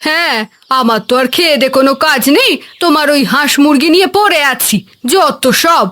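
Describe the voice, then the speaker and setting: A woman's voice: high-pitched, animated, brisk, pushed and bright. A woman voicing a spiteful village sister-in-law in a cartoon, snappish and mocking.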